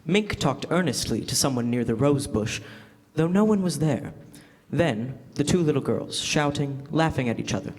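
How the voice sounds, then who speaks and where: A man's voice: medium pitch, animated, moderate pace, hardly any pauses, lightly acted. A young man playing a formal, theatrical announcer and narrator of a 1940s-style radio drama. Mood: storytelling. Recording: echoey hall, live stage.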